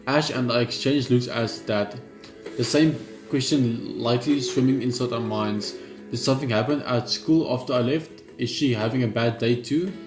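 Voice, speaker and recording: A man reading a horror visual novel aloud in the first person, steady but often stumbling and restarting lines. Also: medium pitch, moderate pace. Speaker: gaming channel host. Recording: roomy room with loud game music.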